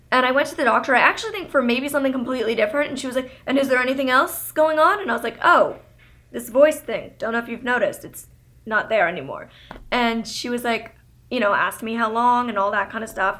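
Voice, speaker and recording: A young woman talks casually to her camera, projecting her voice like a practiced speaker and filling her sentences with "like." Her voice sits a bit high and rings bright and forceful. The telling stays loud and chatty throughout. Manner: chatty, many filler "like"s. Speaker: vlogger and motivational speaker.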